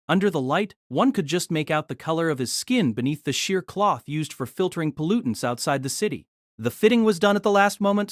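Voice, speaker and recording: A man narrating an audio drama in scripted storytelling style, expressive and engaged. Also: no room sound, studio quality. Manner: expressive storytelling with lively pitch.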